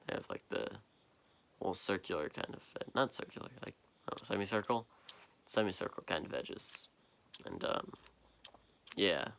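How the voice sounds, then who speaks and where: A tired young man with a deep voice, rambling slowly in a late-night vlog, halting and full of fillers, recorded on a propped-up phone in a quiet basement. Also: gravelly, a little hiss or hum. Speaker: vlogger. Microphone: phone propped up nearby.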